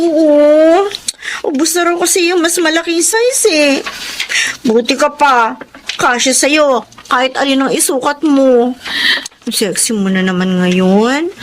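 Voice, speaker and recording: Girlish chatty plump bestie in a radio drama, really high, bit of noise. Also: lively intonation, unhurried. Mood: whiny. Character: chatty, good-natured, plump young female friend.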